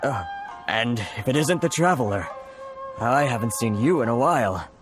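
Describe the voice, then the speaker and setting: An actor playing an anxious, apologetic merchant in a video game, hesitant and flustered. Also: male voice, mid-range voice, lively intonation, normal speed, over music, lightly acted. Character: anxious, apologetic foreign merchant, self-pitying.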